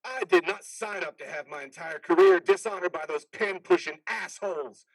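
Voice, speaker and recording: A man's voice: high-pitched, normal speed, vocal fry, no echo. A man playing the stern ghost of a dead army officer in a dramatic play reading, domineering and manipulative. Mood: bitter, domineering.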